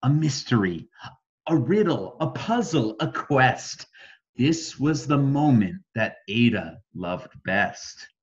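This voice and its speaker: Slow, animated American man reading bedtime stories. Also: mid-range voice, lo-fi sound. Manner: dramatic emphasis, deliberate phrasing. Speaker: storybook reader. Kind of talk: expressive children's bedtime story reading in rhyme.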